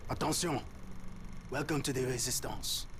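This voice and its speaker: Guy playing french resistance boss in a war game, grave n urgent, formal, mid pitch, bit of noise. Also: normal speed, fairly bright tone, close to an everyday voice. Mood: urgent, fervent. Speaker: resistance commander. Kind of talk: scripted game briefing.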